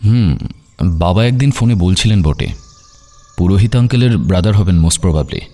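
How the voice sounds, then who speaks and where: A man's voice: low voice, lively intonation, moderate pace, mellow. A man playing an indifferent expatriate home from America, offhand and peppering his talk with English phrases in an audio drama. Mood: aloof, offhand. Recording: faint music behind the voice.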